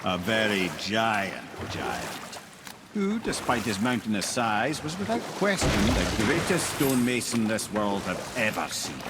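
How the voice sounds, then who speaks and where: A wry old Scottish sage for a video game. His delivery is eloquent and theatrical, swinging widely in pitch like a born storyteller, over clear boat and water noise. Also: wildly swooping pitch, measured pace. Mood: dramatic storytelling. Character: wry, learned old male storyteller and advisor.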